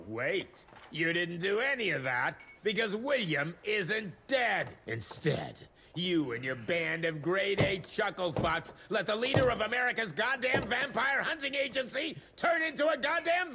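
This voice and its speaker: An actor voicing a foul-mouthed, bullying government secretary who shouts and sneers in a gravelly voice. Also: male voice, high voice, big pitch swings, measured pace, few pauses, background noise, full character voice. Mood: ranting. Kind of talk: video game cutscene acting.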